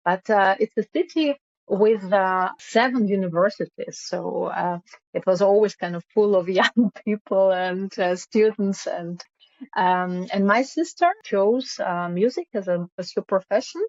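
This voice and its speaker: A woman's voice: medium pitch, darkish tone, dry recording. Woman on a dull call. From Russia. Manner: halting, many fillers, laughs often. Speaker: guest, music founder. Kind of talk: casual interview.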